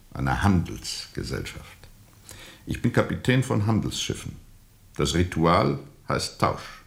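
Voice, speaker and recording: An actor playing a weary, bitterly ironic steamboat captain in a radio play, speaking deliberately and sardonically with weighty pauses. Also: male voice, low-pitched, gravelly, heavily acted. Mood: sardonic. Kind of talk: radio play acting, testimony under questioning.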